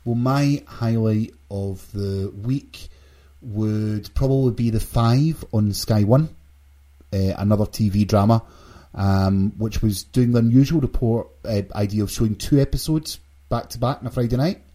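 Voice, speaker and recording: A man's voice: lowish voice, unhurried, dark tone. A man hosting a casual group podcast with jokey banter. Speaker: podcast host.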